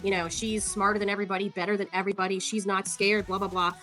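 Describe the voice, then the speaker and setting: A woman's voice: medium pitch, level tone, fast talker, a bit bright, soft background music. A woman giving casual, sarcastic commentary as she walks through court records, scornful and free with swearing. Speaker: online commentator.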